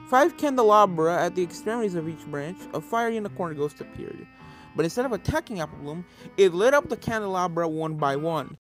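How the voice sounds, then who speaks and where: Story narrator with music behind. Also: medium pitch. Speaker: fan fiction narrator.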